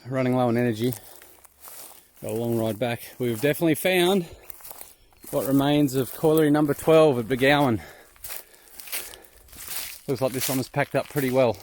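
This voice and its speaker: A man's voice: mid-range voice, moderate pace, long pauses, a little mellow, a little hiss or hum. A laid-back Australian man chatting casually and slangily to viewers as he vlogs about exploring outdoors. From New South Wales, Australia. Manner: laid-back, informal, slangy, self-deprecating. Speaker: vlogger and amateur explorer of abandoned mines. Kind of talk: casual vlog chat to viewers. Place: outdoors in the bush.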